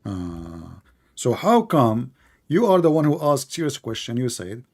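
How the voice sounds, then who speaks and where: Dark-voiced man, teasing debater. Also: mid-range voice, sing-song, measured pace. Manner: teasing, sarcastic, questioning in plain broken English. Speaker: debate host. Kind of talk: live online debate, conversational.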